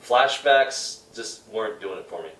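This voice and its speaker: Animated, blunt man in an echoey room. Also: lowish voice, moderate pace. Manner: blunt, contrary. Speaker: podcast host debating films. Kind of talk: casual group debate. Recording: roomy room, distant mic.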